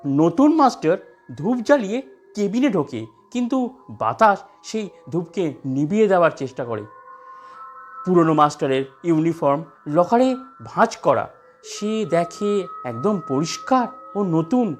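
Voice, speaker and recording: A narrator tells a scripted ghost story in a mid-pitched voice. The delivery moves up and down in an animated way, with soft music underneath. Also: a little slow.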